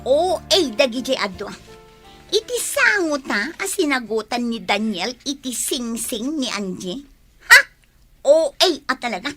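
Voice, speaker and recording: Shrill, swooping, taunting Ilocano meddler. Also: female voice, big pitch swings, unhurried, fairly bright tone, soft background music, exaggerated voice. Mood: mock surprise. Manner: exclamatory, mocking, swooping. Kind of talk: Filipino radio drama acting.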